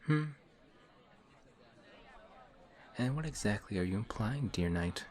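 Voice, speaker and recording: An actor playing a courteous, formal villain who is sly and quietly taunting, in a one-sided audio roleplay. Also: male voice, lowish voice, lively intonation, a little fast, a faint crowd, lightly acted. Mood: sly menacing. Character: suave, polite male villain in civilian clothes. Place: coffee shop scene with faint café chatter.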